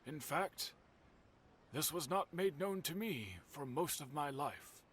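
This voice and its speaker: Veteran game blacksmith: slightly high male voice, slow formal delivery, grave storyteller, faint noise behind. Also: lively intonation, a bit bright. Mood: solemn. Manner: measured, formal, solemn. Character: older male master swordsmith, courteous, grave storyteller. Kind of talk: video game character acting.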